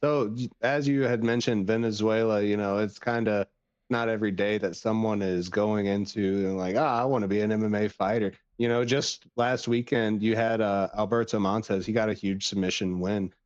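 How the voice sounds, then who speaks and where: A man's voice: on the low side, fairly even intonation, normal speed, a little mellow, no room sound. A man co-hosting a podcast, talking casually through fight analysis and interview questions, on a lo-fi microphone. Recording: dull, lo-fi microphone audio.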